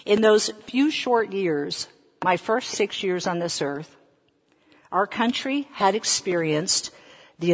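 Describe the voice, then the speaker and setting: An American woman in her fifties from the South, a preacher telling personal stories in a deliberate manner and putting questions to her listeners. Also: fairly low pitch, slightly rough. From Southern United States. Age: 50s. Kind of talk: sermon with personal storytelling. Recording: echoey hall with long reverb.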